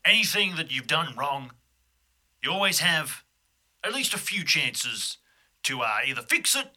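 Guy doing breezy distracted police chief, forgiving, halting, fairly high voice thats really forceful and bright. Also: big pitch swings, heavily acted. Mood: breezy, encouraging.

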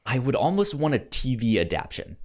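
A man co-hosting a film podcast in casual chat, haltingly repeating words as he thinks. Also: mid-range voice, big pitch swings, darkish tone.

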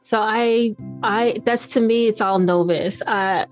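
A woman's voice: mid-range voice, takes it slowly, soft background music. A woman hosting a podcast, reading the guest introduction and asking questions in an upbeat, encouraging way. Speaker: podcast host. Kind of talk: podcast interview.

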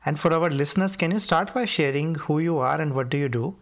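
A man's voice: a little high, a little fast, no room sound, studio quality. A man hosting a podcast, reading a scripted, emphatic intro and then interviewing a guest. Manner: emphatic, repeats key phrases. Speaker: podcast host.

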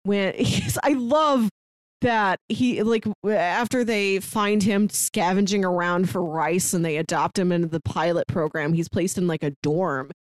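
A woman co-hosting a podcast, chatting casually and retelling stories in an animated way. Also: lowish voice, unhurried, no echo. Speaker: podcast co-host. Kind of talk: casual book discussion.

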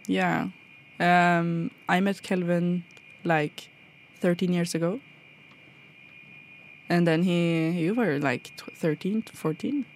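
Woman from norway co-hosting a studio podcast, low gravelly voice, trips over her words a bit. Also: steady tone, slow pace, slight background noise. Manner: hesitant, restarts, "kind of". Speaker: podcast co-host. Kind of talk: casual podcast chat. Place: radio studio.

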